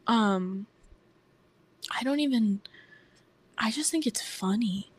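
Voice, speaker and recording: A young woman with a soft voice, hosting a casual podcast chat in a shy, hesitant way that often trails off. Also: a little high, takes it slowly, husky, no echo, low-quality recording. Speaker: podcast host.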